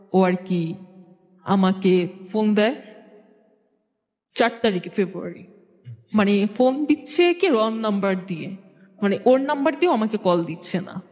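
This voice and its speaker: Young female storyteller, Bangladeshi accent, higher register, re-enacts dialogue colloquially, recorded with hall-like reverb. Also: darkish tone. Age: young adult. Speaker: guest telling own life story. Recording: long echoing hall reverb.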